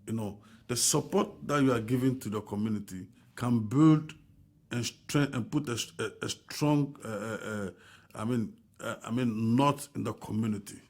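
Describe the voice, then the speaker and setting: A man's voice: medium pitch, moderate pace, a little mellow. Emphatic French-speaking man guest. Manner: emphatic, repetitive, many restarts. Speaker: Red Cross volunteer team leader, guest. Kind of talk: radio interview. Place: radio studio. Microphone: studio microphone.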